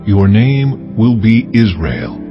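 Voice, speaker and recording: A male actor playing God in a dramatized scripture reading, solemn and measured, speaking in commanding declarations. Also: on the low side, very animated, lo-fi sound. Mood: majestic blessing. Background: music.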